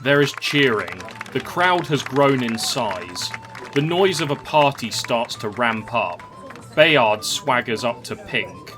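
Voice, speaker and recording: A man's voice: mid-range voice, lively intonation, slow pace, music in the background. A man narrating a scripted fantasy story in a dramatic storytelling manner.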